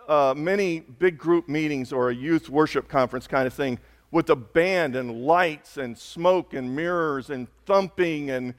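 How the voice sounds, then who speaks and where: A man preaching a sermon as a pastor, hoarse, talking conversationally and building to slow, emphatic peaks. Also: on the high side, big-room sound. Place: church sanctuary.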